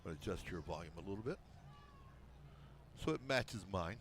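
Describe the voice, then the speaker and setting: Man, play-by-play announcer, big loud voice, folksy joking banter, press box, faint outdoor noise. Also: sits low, expressive, moderate pace. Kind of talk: high school football play-by-play.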